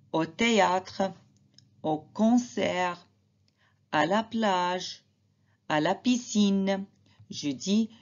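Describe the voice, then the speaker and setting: A woman teacher slowly explaining and repeating examples to her students, talking to them directly. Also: sits low, creaky, lo-fi sound. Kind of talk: slow classroom explanation.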